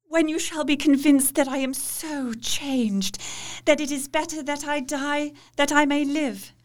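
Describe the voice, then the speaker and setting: Woman playing Mina, grave high-voiced young wife. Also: fairly even intonation. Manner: solemn, pleading, slow and measured. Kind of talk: radio play acting.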